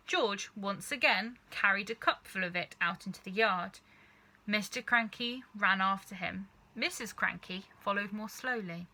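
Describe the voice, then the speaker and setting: A woman reading a children's story aloud as narrator, with expressive scripted narration. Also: medium pitch. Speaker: storybook reader.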